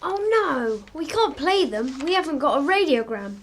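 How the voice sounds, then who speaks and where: A woman's voice: high-pitched, big pitch swings, normal speed, slight background noise. A woman playing an eager, curious young boy in a radio drama, chatty and a little whiny.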